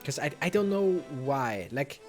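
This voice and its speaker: High-voiced man doing casual gameplay commentary. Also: very animated, measured pace. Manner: rambling, thinking aloud. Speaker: let's play host. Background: faint video game music.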